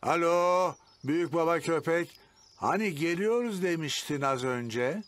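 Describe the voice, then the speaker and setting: A man's voice: a little high, unhurried, noisy background, close to an everyday voice. An actor playing a playful, mock-stern old grandfather pig in a children's cartoon, speaking theatrically. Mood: grumbly waiting.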